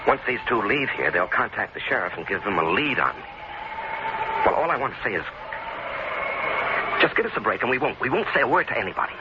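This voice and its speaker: An actor playing a nervous captive husband in an old-time radio drama, halting and stammering as he bargains. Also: medium pitch, sing-song, lightly acted. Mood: nervous, bargaining. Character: anxious captive husband pleading for his life. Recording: muffled, very noisy old radio recording.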